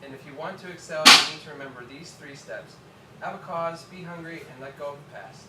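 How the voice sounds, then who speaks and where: A man's voice: a little high, fairly quick, lightly acted. A man playing a motivational speaker, reading a self-help monologue at a table read and stumbling a little over the script. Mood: instructive. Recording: distant, echoey room with background noise.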